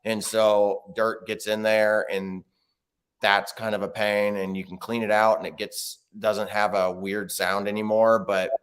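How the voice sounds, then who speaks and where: Lowish guy on a video call, relaxed, rambling explainer. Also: unhurried. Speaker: guest, bike video creator. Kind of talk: casual remote interview.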